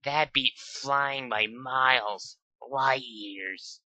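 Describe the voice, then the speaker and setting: A brash young pegasus mare , voiced by a man reading aloud, speaks in a high, tight, bright tone that pushes to loudness. The sound is dry and close. Her manner is cocky and boastful. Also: very slow, no room sound, exaggerated voice. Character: brash tomboyish young female pegasus pony. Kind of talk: amateur fan fiction reading.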